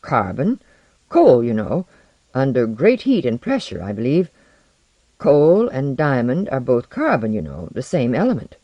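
A narrator playing an educated modern man in an audiobook tale. He is modest and anxious, speaking plainly but emotionally, with pitch that moves a great deal. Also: huge pitch swings, a little slow, no room sound, lo-fi sound, exaggerated voice. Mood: hesitant, guarded.

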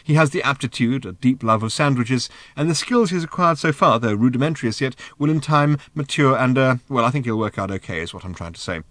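A man voicing a polite, flustered gentleman who fusses and hesitates. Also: mid-range voice, very animated, fast, hardly any pauses, dry recording. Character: flustered polite Englishman, fussy and impatient.

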